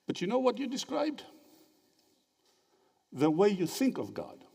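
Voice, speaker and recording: A man gives a theology lecture in a large hall, his slightly high voice rising and falling with lively, animated inflection. He keeps prodding the audience with short questions, and a long reverb trails each phrase. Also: measured pace, creaky, husky. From Greece. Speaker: theology speaker at a symposium. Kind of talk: interactive lecture.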